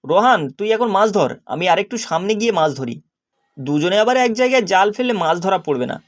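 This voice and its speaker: A man plays a discontented young fisherman in a cartoon fable. His voice sits high for a male. He sounds naive and earnest, with a plaintive way of talking. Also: sing-song, quick pace. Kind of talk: animated story character acting.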